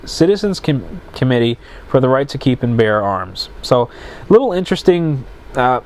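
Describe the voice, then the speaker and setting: Solo podcast host, male, stuffed-up nasal congestion, middle pitch, rambling and self-correcting while reading news. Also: big pitch swings, normal speed, darkish tone. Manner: rambling, self-correcting, stumbles over words. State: congested, plugged sinuses.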